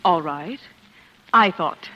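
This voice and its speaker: A woman's voice: lowish voice, expressive, slow, pushed and bright, full character voice. An actress playing a passionate, scheming wife in 1940s radio drama style, theatrical in her delivery. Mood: evasive. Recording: dull vintage radio recording.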